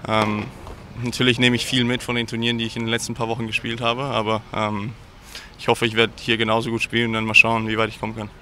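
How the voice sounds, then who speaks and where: A man answering in an interview as a tennis player, flat and matter-of-fact in his delivery. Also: mid-range voice, fast talker, a little hiss or hum.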